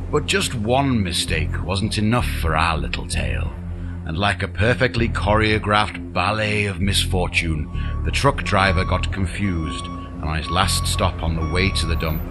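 A man reading a scripted fantasy audiobook in a dramatic, deliberate storytelling manner. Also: low-pitched, very noisy. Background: music under the voice.

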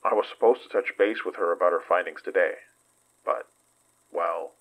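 An actor playing a weary investigator in a horror audio drama, husky and halting as he recounts danger. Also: low voice, highs cut off. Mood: subdued.

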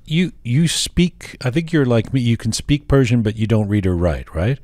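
A man hosting an interview, asking long questions in a halting way with many restarts. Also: medium pitch, lively intonation, darkish tone, no room sound. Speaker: podcast host, interviewer.

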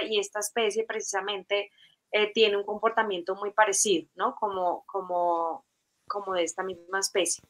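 A woman's voice: medium pitch, sharp tone. A Colombian woman in her forties hosting a live video-call interview, chatty with many fillers and restarts. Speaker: host. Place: home room, video call.

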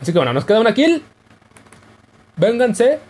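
A man's voice: extremely high pitch, very animated, normal speed, darkish tone. A young man giving casual, joking commentary over his gameplay. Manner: playful, jokey, yelps and laughs while playing. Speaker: gaming YouTuber.

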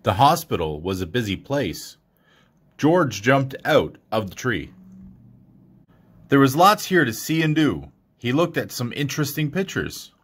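A man reads a picture book aloud for young children. His mid-pitched voice moves up and down in a lively way, with expressive storytelling delivery throughout. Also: measured pace, husky. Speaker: storybook reader.

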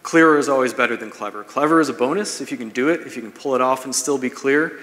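A man's voice: mid-range voice, fast talker, fairly bright tone. A man in his thirties giving a business seminar talk in a conversational, explanatory way, like a presenter walking an audience through advice. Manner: conversational, explanatory, checks in with "right?". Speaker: presenter, marketing speaker. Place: large brick-walled event room, indoors. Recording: echoey hall, long reverb.

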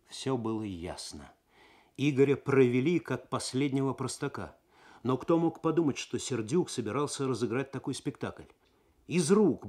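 A male narrator with a medium-pitched voice reads prose for 1970s radio. His delivery is actorly and expressive, building suspense in a literary style. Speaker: narrator, reader.

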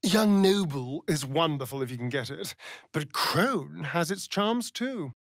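A theatrical, swooping vampire rogue. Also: male voice, on the high side, lively intonation, unhurried, fairly bright tone, no room sound, clean studio sound. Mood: arch, sardonic. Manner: theatrical, drawling, arch, with sighs and chuckles. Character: sardonic, vain, theatrical male vampire rogue. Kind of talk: video game character voice lines.

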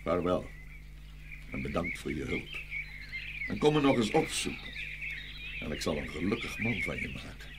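A man's voice: deep voice, very animated, normal speed, pauses often, noisy background. A man playing a grand, good-natured, sleepy tsar in a dramatised fairy tale, with theatrical exclamations. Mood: hearty, generous.

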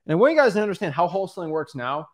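A man's voice: high voice, very animated, racing. A young man co-hosting a casual studio podcast, talking loosely with lots of fillers and addressing listeners as guys. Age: young adult. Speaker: co-host. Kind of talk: casual podcast coaching talk. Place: studio with backdrop. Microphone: studio mic on boom arm.

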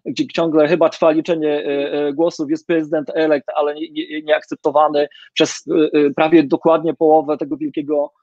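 Halting man on a dull video call. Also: a little high, flat delivery, darkish tone, no room sound. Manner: halting, many fillers and restarts. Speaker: interviewer. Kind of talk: hesitant literary interview questions. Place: home room with bookshelves.

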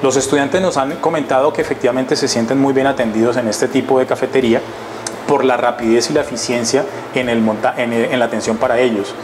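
Measured man in an industrial kitchen. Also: medium pitch, fairly even intonation, background noise. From Colombia. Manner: measured, explanatory, with hesitations and restarts. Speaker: university facilities manager. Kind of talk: testimonial interview. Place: large industrial kitchen, indoors. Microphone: lapel mic.

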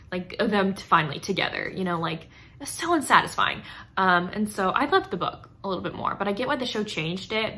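A woman's voice: fairly low pitch, sharp tone. A woman in her twenties chatting casually to camera as a book vlogger, gushing and emphatic in an informal way. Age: 20s. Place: small indoor room lined with bookshelves.

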